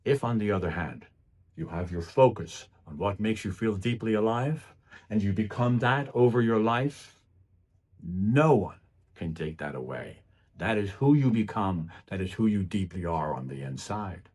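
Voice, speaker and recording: Male public speaker for a motivational speech, husky tone, very animated pitch, emphatic and deliberate phrasing.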